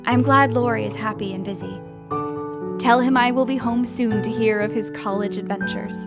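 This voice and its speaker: A woman's voice: fairly high pitch, fairly even intonation, moderate pace, lightly acted. A woman playing an eager, polite young woman writer in an audio drama, earnest and easily flustered. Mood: warm, earnest. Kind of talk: audio drama acting with letter narration. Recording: music behind the voice. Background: music.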